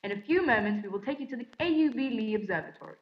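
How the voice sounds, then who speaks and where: A woman playing a formal 1930s radio announcer, reading bulletins in a measured, scripted way. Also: a little high, lively intonation, natural voice. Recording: echoey room, slightly dull sound.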